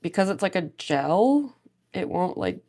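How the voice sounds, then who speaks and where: A woman's voice: fairly low pitch, big pitch swings, measured pace, dark tone. Sniffly young woman chatting on a livestream. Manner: rambling, many fillers, laughs often, reads chat aloud. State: a little stuffy and sniffly, recovering from a cold. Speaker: cosplay livestreamer. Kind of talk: casual livestream chat while doing makeup.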